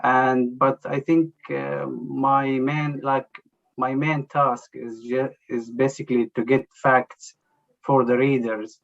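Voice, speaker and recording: Halting man, gravelly. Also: mid-range voice, little pitch movement. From Jerusalem. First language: Arabic. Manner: hesitant, halting, full of "you know" and restarts. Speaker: journalist guest. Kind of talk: conversational interview answers. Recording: dull lo-fi sound.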